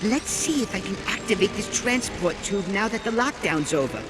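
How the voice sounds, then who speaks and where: A man's voice: high voice, lively intonation, moderate pace, over music. A man voicing a polite, chatty robot worker in a video game, eager and a little prim.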